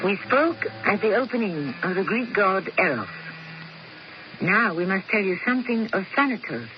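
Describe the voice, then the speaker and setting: A woman's voice: mid-range voice, big pitch swings, normal speed. A woman hosting a radio mystery drama with a dramatic, lilting scripted introduction. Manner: dramatic, lilting, hushed delivery. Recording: muffled old radio recording with hiss.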